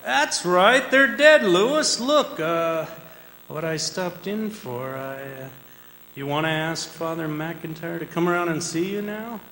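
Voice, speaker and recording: Defense lawyer played by a man, high voice, blunt and reproving; stage radio drama, noisy reverberant hall recording. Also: measured pace, sharp tone. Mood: exasperated, grave. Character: exasperated defense lawyer, blunt yet loyal to client. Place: performing arts center stage.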